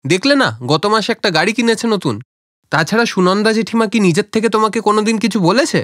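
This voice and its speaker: A man's voice: sits high, quick pace, a bit bright, dry recording, studio quality. A man voicing a blunt, irritated young adult son in a cartoon story, grumbling and dismissive. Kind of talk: animated moral story cartoon dialogue.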